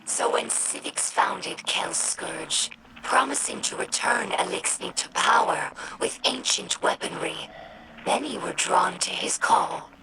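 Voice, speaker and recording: An actress playing an earnest young female alien scribe, narrating lore for a game in a measured, reflective way. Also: medium pitch, lively intonation, noisy background. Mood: grave, cautionary. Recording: music under the voice.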